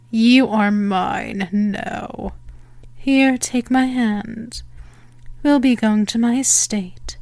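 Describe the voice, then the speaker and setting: Actress as female vampire for ASMR roleplay: higher-pitched, unhurried, haughty and menacing, very dry close recording. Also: darkish tone, no room sound. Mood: smug, commanding. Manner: teasing, theatrical, deliberate phrasing.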